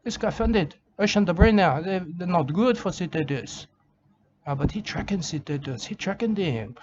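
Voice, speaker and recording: An Australian man delivers a rambling, self-repeating comic monologue in broken grammar. His voice sits a touch high for a man, and the recording is dull and lo-fi with faint outdoor ambience behind him. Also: animated, normal speed, a little mellow, a little hiss or hum. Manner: rambling, repetitive, broken grammar, keeps saying "mate".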